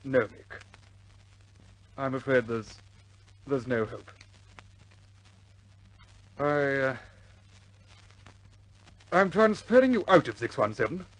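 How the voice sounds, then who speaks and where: An actor playing a courteous but firm senior RAF commander in a 1950s British war film, formal and measured with pauses. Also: male voice, mid-range voice, animated, rough recording.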